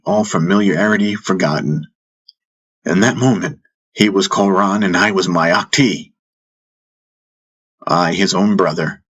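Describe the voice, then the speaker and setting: Deep, forceful male fantasy narrator. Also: husky, low-quality recording. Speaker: audiobook narrator. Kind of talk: dramatic first-person fantasy narration. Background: music bed.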